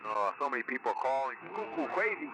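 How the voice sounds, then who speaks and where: A man's voice: medium pitch, lively intonation, quick pace. A man chatting casually and chummily as he takes calls as a radio operator, heard over a radio. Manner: chummy, informal. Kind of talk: casual CB radio contact chat. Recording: noisy CB radio reception.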